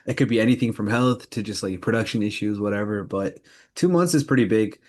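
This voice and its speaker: Low-voiced man co-hosting a podcast. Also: fairly quick, darkish tone. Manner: informal, leads the segments. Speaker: podcast co-host. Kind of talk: casual news roundup chat about anime.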